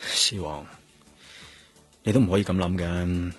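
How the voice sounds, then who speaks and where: Police detective, Hong Kong accent, fairly low voice, determined, questioning, businesslike, radio play. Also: male voice, measured pace, husky. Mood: serious, dogged. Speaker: actor in a radio drama. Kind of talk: Cantonese radio drama acting. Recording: music bed behind the voice.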